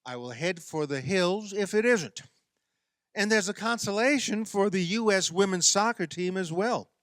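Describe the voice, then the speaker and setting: A man delivering a sermon as a minister, in measured, emphatic oratory with wry, dry humour. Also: high voice, a bit bright, dry recording.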